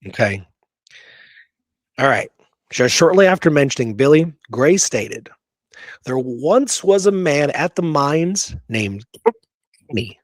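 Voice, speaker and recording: A man's voice: a little high, very animated, takes it slowly, pauses often, dry recording. Man talking history, very dry close sound. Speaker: podcast co-host. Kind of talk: conversational history talk, reading quoted passages and commenting.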